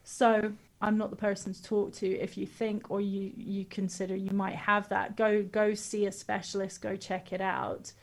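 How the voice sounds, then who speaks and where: A woman's voice: mid-range voice, level tone, moderate pace, hardly any pauses. A woman vocal coach answering interview questions casually, explaining with everyday comparisons and demonstrating sounds.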